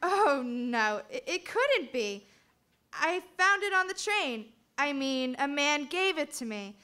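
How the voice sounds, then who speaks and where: A disturbed college girl in an old-time radio play. She is childish, secretive and stubborn, with a high, piercing voice and theatrical delivery. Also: sing-song, takes it slowly, bright, forceful tone, exaggerated voice. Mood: dreamy, evasive. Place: live stage performance at a convention.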